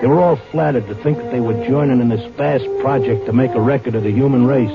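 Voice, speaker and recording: An actor playing a cynical, streetwise narrator in an old-time radio drama, wry and hard-boiled. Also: male voice, mid-range voice, quick pace, close to an everyday voice. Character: cynical, streetwise male narrator recalling events. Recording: muffled old radio recording. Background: loud music.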